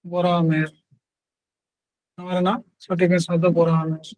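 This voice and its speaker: Male exam tutor, high voice with little melody, close dry sound, repeats each question in short phrases. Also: flat delivery, moderate pace, darkish tone, no room sound, low-quality recording. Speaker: teacher. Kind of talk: exam question-and-answer lesson.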